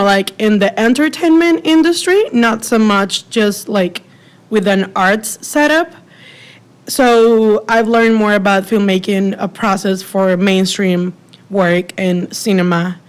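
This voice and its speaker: A young woman with a Venezuelan Spanish accent, answering interview questions in a relaxed, chatty way with frequent fillers. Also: mid-range voice, clear, resonant tone. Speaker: filmmaker guest.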